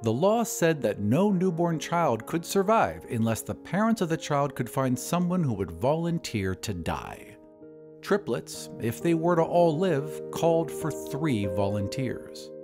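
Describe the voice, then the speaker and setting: A man's voice: a little high, big pitch swings, a little slow, music in the background. A man narrating a scripted story in a dramatic audio-drama style.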